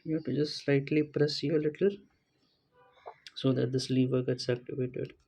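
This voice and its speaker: A man casually talking through a product unboxing without a script, thinking aloud in a halting way as he goes. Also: medium pitch, level tone, gravelly, a little mellow, a little hiss or hum. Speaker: gadget unboxing vlogger. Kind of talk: casual unscripted product walkthrough.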